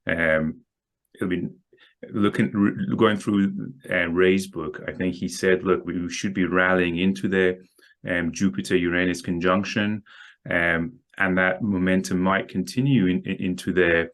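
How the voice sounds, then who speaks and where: A man's voice: low voice, steady tone, a little slow, fairly bright tone. A man guest talking about markets in a casual interview, hesitant, with many ums and repeated words.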